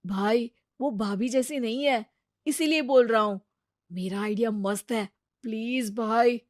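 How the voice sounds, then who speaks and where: Woman playing lovestruck younger brother, quiet and earnest, family moral tale, dry close recording. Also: a little high, measured pace, no echo, natural voice. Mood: pleading. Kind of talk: Hindi family moral story dramatization.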